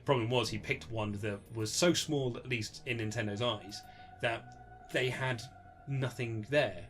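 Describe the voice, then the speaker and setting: A British man being interviewed as an author, chatting casually in a rambling, animated way and often acting out quoted remarks. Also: a little slow. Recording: low-budget recording with music underneath.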